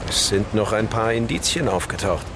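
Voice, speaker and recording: An actor playing a confident, self-satisfied police inspector in a naturalistic radio crime drama, talking in a matter-of-fact, assured way. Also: male voice, fairly low pitch, normal speed, noisy background, lightly acted.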